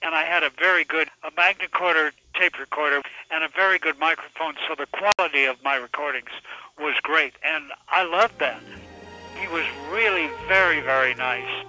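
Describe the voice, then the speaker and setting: A man's voice: on the high side, moderate pace, sharp tone, highs cut off, faint music behind. An older American man casually recalling his career as an interviewee. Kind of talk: casual recollection.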